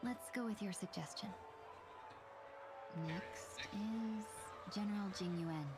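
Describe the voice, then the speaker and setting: A woman's voice: medium pitch, slow pace, loud music behind the voice, close to an everyday voice. A woman playing a refined, courteous young woman in a video game, speaking politely and formally with composure. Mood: gracious, pleased.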